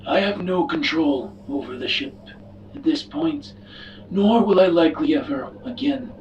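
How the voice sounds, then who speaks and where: Guy doing a grave secretive alien elder for an rpg, slow and formal, kinda high for a man, distant mic with music under it. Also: dull audio, slight background noise, lightly acted. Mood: grave, foreboding. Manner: formal, solemn, measured speech. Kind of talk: in-character tabletop roleplay.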